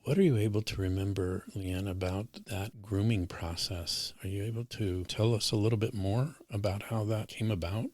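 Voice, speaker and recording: A man's voice: fairly low pitch, steady tone, normal speed, few pauses, darkish tone, no echo. A man hosting a podcast interview, speaking in an earnest, formal and encouraging way. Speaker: podcast host.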